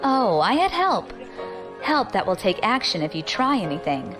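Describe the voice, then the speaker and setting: A woman's voice: medium pitch, big pitch swings, moderate pace, music in the background, natural voice. A woman playing a bold, ambitious, defiant young opportunist in an audio drama, assertive and challenging. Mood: confident, threatening.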